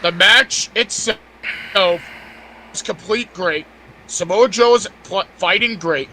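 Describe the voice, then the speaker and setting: A man in a casual, opinionated podcast chat, blunt and halting, often repeating his words. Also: high-pitched, sharp tone, a little hiss or hum, rough recording. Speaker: podcast panelist.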